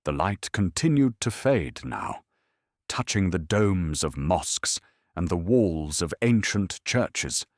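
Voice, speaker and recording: A man reading a calm, scripted historical bedtime story in a soothing, solemn, measured way. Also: low voice, no echo, studio quality. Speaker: narrator.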